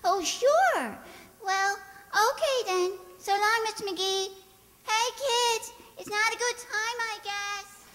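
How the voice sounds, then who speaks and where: A woman's voice: very high-pitched, slow pace, a bit bright, full character voice. A woman playing an eager, pestering little neighbor girl, childish and wheedling, in an old-time radio comedy. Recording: very reverberant hall stage recording.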